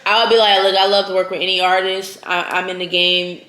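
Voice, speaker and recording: A woman's voice: medium pitch, little pitch movement, quick pace, a bit bright. Cousin guest: a woman who talks casually and holds her ground, in an echoey room. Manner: conversational, argues her point firmly. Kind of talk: casual chat.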